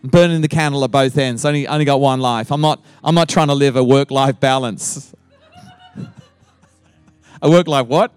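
A man's voice: medium pitch, normal speed, long pauses, a little mellow, clear, resonant tone, no echo, faint music behind. A middle-aged man with an Australian accent, a guest pastor opening his sermon with playful teasing and frequent laughter.

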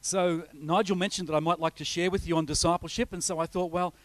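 A man's voice: on the high side, steady tone, fast talker, no echo. A middle-aged Australian man, a visiting pastor, giving a rambling, chatty introduction to a church congregation, with many fillers and restarts. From north coast of New South Wales, Australia. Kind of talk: informal sermon introduction with slides.

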